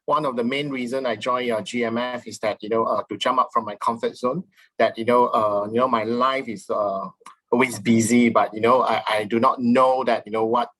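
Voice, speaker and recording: A man's voice: mid-range voice, steady tone, normal speed, fairly bright tone, poor audio. A man from Malaysia introducing himself hesitantly with many "you know" fillers over a video call. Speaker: workshop participant.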